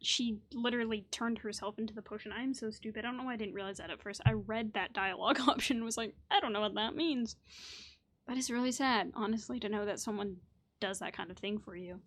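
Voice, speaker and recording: A woman's voice: a little high, fast, fairly bright tone. A woman hosting a gameplay video, giving informal, chatty reactions as casual commentary. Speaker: gamer, video host.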